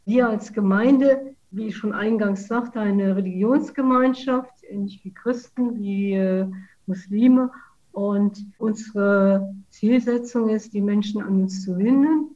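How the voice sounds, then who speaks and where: Woman, mellow voice. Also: mid-range voice, dull audio. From Saarland, Germany. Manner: measured, explanatory. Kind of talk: interview answers.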